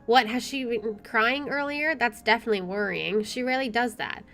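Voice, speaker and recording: A woman's voice: fairly high pitch, moderate pace, fairly bright tone. A woman let's player reading a visual novel aloud expressively with chatty asides, game music playing behind her.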